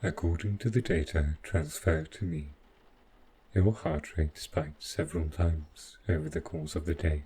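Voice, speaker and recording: A man playing a devoted, overprotective robot butler in a scripted audio roleplay, speaking in formal, measured, literal phrases. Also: extremely low pitch, fairly even intonation, warm tone, slight background noise. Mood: formal, caring.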